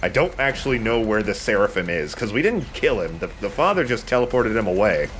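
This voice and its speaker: A man's voice: lowish voice, big pitch swings, fairly quick, fairly bright tone, a little hiss or hum. A man giving casual commentary over a video game, talking in a conversational let's play style. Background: video game sound.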